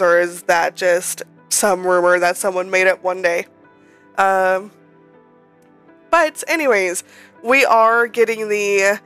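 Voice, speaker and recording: Woman, rambling vlog voice-over, a bit nasal from a cold, smooth clear tone, faint music behind. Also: mid-range voice, a bit bright. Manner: chatty and rambling, many ums and restarts. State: slightly nasal, recovering from a cold. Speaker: Sims speed-build creator. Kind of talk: casual voice-over commentary.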